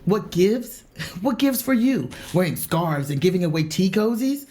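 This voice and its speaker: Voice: medium pitch, expressive, measured pace, warm tone, lightly acted. A player voicing a tired, troubled ship chief mate in a tabletop game. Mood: weary.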